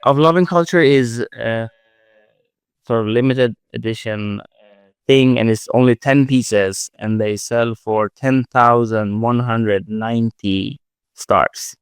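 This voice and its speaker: A man from Sweden, a creator answering questions in a casual live audio chat, halting and full of restarts. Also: medium pitch, clear, resonant tone, dry recording. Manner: halting, many restarts and fillers. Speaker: art project creator, writer-director.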